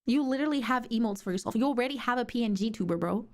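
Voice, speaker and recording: A young woman streamer with a sore throat, chatting excitably and rambling with lots of fillers. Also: on the high side, racing. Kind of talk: casual stream chat.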